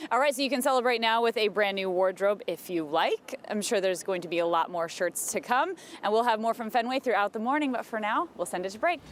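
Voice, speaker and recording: A woman's voice: mid-range voice, fast talker, hardly any pauses. An American woman working as a TV reporter, giving live morning news from outdoors with casual banter. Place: outdoors outside a city ballpark. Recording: live outdoor broadcast with background street noise.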